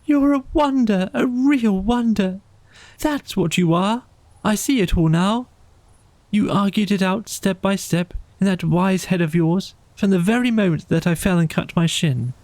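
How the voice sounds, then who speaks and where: A man's voice: sits high, unhurried, a little mellow, no room sound, pitched above the performer's own voice. A man voicing an earnest, wordy mole in a children's storybook, with emphatic, rambling speech. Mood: awed, gushing. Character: grumbling, naive, wordy male mole. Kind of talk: animal character in a children's storybook.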